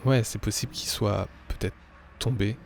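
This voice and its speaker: A man improvising narration as game master of a tabletop horror game, halting and pausing often between phrases. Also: lowish voice, fairly even intonation, vocal fry, a little hiss or hum.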